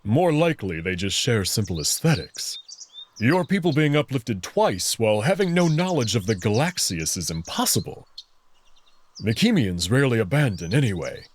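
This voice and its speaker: A man voicing a proud, confident young alien wolf prince in a visual novel, friendly but full of hubris, speaking with expressive self-assurance. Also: medium pitch, moderate pace, slight background noise, lightly acted. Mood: proud, dismissive.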